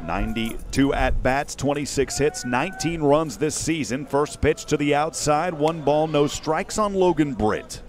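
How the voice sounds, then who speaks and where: A man in his thirties calling a baseball game live in short, measured phrases, speaking into a headset mic outdoors. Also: fairly high pitch, expressive. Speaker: radio play-by-play baseball announcer. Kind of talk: live sports commentary. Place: outdoors at a windy ballpark. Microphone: headset boom mic.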